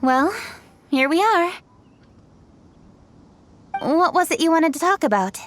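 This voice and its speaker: A woman's voice: very high-pitched, very animated, slow pace, soft background music, heavily acted. A woman playing a composed, dutiful young noblewoman in an anime game, speaking politely and thoughtfully in a refined manner.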